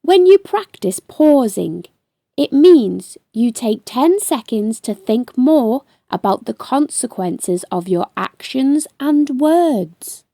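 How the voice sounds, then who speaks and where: A woman voicing a calm, kind young ninja friend from a children's storybook, patient, gentle and encouraging as they explain things. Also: sits high, takes it slowly, vocal fry, no echo, clean studio sound, pitched above the performer's own voice, exaggerated voice.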